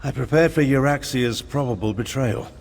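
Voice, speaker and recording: An actor playing a wry, fastidious and theatrical prince and mage in a fantasy video game, articulate and self-assured. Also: male voice, medium pitch, vocal fry, a little mellow. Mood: wry, composed.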